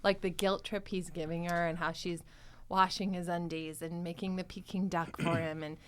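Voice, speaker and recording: A woman hosting a podcast, chatting casually and with animated enthusiasm about a show. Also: lowish voice, moderate pace, slight background noise. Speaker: podcast host.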